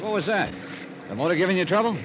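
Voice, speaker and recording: An actor playing a brisk, shrewd insurance investigator in a 1950s radio drama, with a crisp, businesslike delivery. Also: male voice, high voice, expressive. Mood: urgent. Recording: noisy vintage radio recording.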